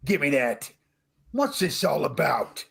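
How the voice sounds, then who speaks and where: Gravelly, husky, stern dad, acted by a man. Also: fairly high pitch, very animated, takes it slowly, a bit bright, heavily acted. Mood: suspicious. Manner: gruff, curt. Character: stern, suspicious working-class father. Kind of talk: vintage radio drama acting.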